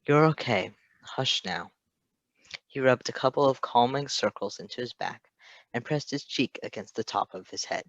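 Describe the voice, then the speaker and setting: A man reading a story aloud in an expressive scripted narration style. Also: mid-range voice, normal speed, no echo, lo-fi sound. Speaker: podfic reader.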